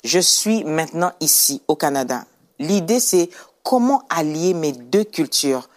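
A woman's voice: low-pitched, expressive, a little slow. A middle-aged woman from Congo giving personal testimony as an interview guest, emphatic and repeating phrases for effect. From Kinshasa, Congo. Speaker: guest, clothing brand founder.

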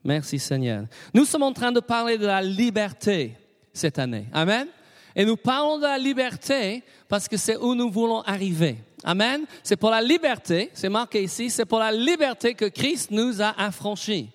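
English-accented man, middle-aged pastor, high voice, call-and-response preaching, reverberant hall. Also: big pitch swings, moderate pace. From Britain. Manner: leads the congregation in call-and-response, frequent amens. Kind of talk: sermon.

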